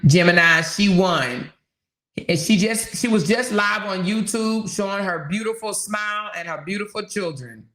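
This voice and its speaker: A woman's voice: fairly low pitch, fairly bright tone, roomy sound. A woman hosting a casual livestream, reading viewer comments and commenting on them emphatically, repeating her points and tossing in rhetorical questions. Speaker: livestream host.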